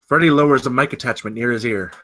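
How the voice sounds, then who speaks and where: Bright-voiced man narrating a screenplay. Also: mid-range voice, quick pace. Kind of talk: dramatic screenplay stage-direction reading.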